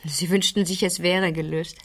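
Intense, breathy teenage girl. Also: fairly low pitch, steady tone, normal speed, a bit bright. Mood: pleading, insistent. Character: insistent, inquisitive 15-year-old girl haunted by a murder. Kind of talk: German radio crime drama acting.

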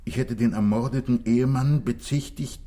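An actor playing a contrite, desperate fugitive from a wealthy life, earnest and pleading, in 1960s radio play style. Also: male voice, mid-range voice, normal speed, warm tone, natural voice. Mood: anguished, confessing.

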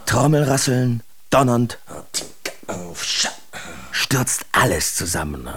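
An actor playing a sardonic, self-absorbed bohemian letter writer in a literary radio drama, with theatrical, deliberate diction. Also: male voice, on the low side, a bit bright, a little hiss or hum. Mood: grandiose, musing. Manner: theatrical, deliberate, ornate diction with pointed emphasis.

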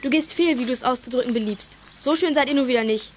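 A woman playing a plucky, quick-witted teenage girl detective in a children's audio drama, pert and defiant. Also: sits high, a little hiss or hum, natural voice. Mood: defiant, cheeky. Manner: pert, defiant, matter-of-fact.

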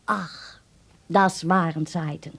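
A woman's voice: a little high, lively intonation, normal speed, mellow. An actress playing a nostalgic, chatty German woman from a Berlin hotel family in a radio drama, telling stories with German phrases mixed in. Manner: storytelling, peppers speech with German phrases.

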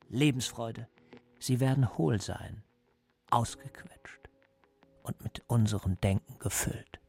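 Creaky-voiced, coldly calm interrogator. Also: male voice, lowish voice, full character voice. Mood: menacing. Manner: measured, controlled, didactic. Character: coldly calm male party interrogator, menacing and paternal. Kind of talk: radio drama acting.

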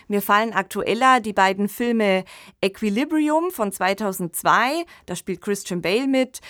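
Woman cohosting a radio podcast, medium pitch, explains stuff clearly, dry close sound. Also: a bit bright, no echo. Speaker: podcast co-host. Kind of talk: conversational radio discussion of science fiction.

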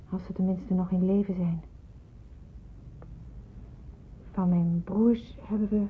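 An actress playing a young refugee woman in hiding in a radio drama, soft, hesitant and earnest. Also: medium pitch, a little hiss or hum, close to an everyday voice. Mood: sorrowful, subdued. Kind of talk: Dutch radio drama acting. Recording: muffled, phone-like old radio recording.

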